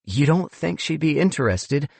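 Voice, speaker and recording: A man's voice: on the high side, lively intonation, moderate pace, vocal fry, darkish tone, husky, no room sound, voice pushed up, exaggerated voice. A man voicing an earnest, frustrated male paleontologist who talks politely and pleads. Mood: earnest pleading.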